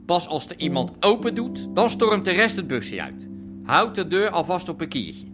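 Commanding gang boss, animated. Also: male voice, high voice, moderate pace, over music. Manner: curt, commanding. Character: brusque, commanding gang boss briefing his thugs. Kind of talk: naturalistic radio drama acting.

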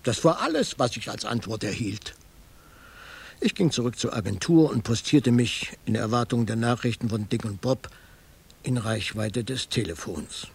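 An actor playing a hardboiled private detective, narrating his case dryly in a gravelly, husky voice for a radio crime drama. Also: mid-range voice, expressive, moderate pace, full character voice. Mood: dry, matter-of-fact.

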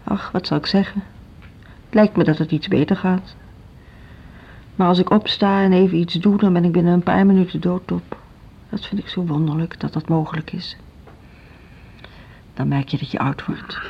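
A weary older woman who has long run a school, in a radio drama. Her voice is low and husky, resigned and wistful with a wary edge. The recording is somewhat dull. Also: fairly even intonation, moderate pace, pauses often. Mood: weary, grateful. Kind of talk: Dutch radio drama dialogue.

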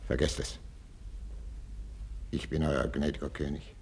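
An actor playing an aging, jealous and imperious king in a 1950s German radio drama, husky and declamatory. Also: rumbling low, level tone, unhurried, a little mellow, slight background noise, heavily acted. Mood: weary, commanding. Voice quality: gravelly, husky. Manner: declamatory, swinging between whispers and outcries. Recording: old 1950s radio recording.

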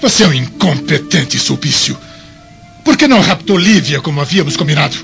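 A gravelly, imperious Roman governor. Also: male voice, a little high, expressive, a little fast, fairly bright tone. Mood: indignant. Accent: Brazilian. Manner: imperious, theatrical. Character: arrogant, lustful, scheming male Roman governor. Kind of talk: Brazilian radio drama acting. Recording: muffled lo-fi audio, music underneath.

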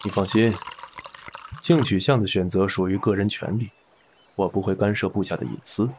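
A man's voice: lowish voice, steady tone, moderate pace, background noise, natural voice. A man playing a shrewd, worldly gambling boss in an audio drama, speaking with authority. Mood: worldly, probing.

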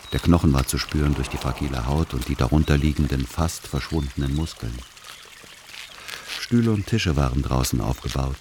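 A man playing a patient, weary adult son in a radio play, both narrating and talking in a measured, dry manner. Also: a bass voice. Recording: clear background noise, outdoor ambience.

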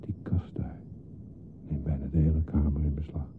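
A man's voice: flat delivery, normal speed. An actor playing a lonely, disturbed man who longs to be a baby, in a hesitant, confiding, often near-whispered radio play monologue. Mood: hushed longing. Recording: muffled lo-fi recording with hiss and rumble.

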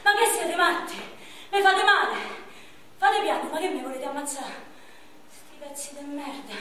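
Battered, bitter young man, played by an actress; shouts crude curses; distant, roomy stage sound. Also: high voice, animated, moderate pace. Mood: furious. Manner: shouting, crude, furious outbursts. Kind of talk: stage monologue. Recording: echoey stage from far off, noisy.

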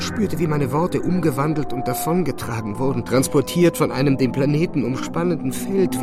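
A man playing a haunted physicist, narrating his visions in a radio drama with measured, literary intensity. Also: medium pitch, natural voice. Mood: mounting dread.

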